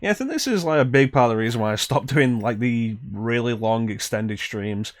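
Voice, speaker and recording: A man's voice: mid-range voice, expressive, fairly quick. A man giving casual, wry gameplay commentary as a streamer, with game audio behind him. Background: video game audio.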